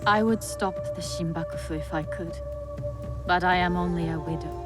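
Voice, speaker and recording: An actress playing a gracious, composed Japanese noblewoman and widow in a video game, speaking formally and with measured care. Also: fairly low pitch, fairly even intonation, lightly acted. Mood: grave, determined. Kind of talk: video game cutscene acting. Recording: loud music behind the voice. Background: music.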